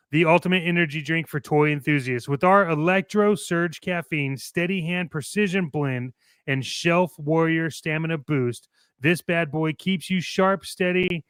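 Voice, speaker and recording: A man's voice: a little high, a bit bright, clean tone, dry recording, studio quality. A man co-hosting a toy collecting show, playful and enthusiastic, moving between ad reads and casual banter.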